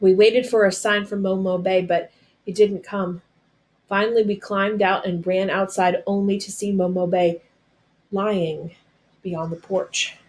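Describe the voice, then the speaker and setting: A woman reads a story aloud in a medium-pitched voice. Her narration is expressive and dramatic. She shapes her phrases deliberately, as a storyteller does.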